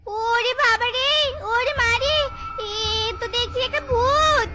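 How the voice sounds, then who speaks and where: A woman voicing an excitable, put-upon young jackal daughter-in-law in a cartoon, squeaky, whiny and dramatic. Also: slow pace, music in the background. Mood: terrified. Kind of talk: cartoon voice acting.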